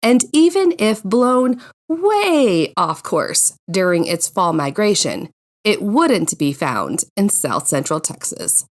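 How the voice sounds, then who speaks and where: A woman hosting a podcast, telling personal stories from a script in an expressive, conversational way. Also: mid-range voice, takes it slowly. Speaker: podcast host.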